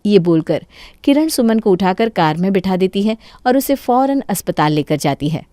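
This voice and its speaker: A woman's voice: mid-range voice, animated, moderate pace, dark tone, dry recording. Female narrator, scripted storytelling, Hindi tale, dry studio-like sound.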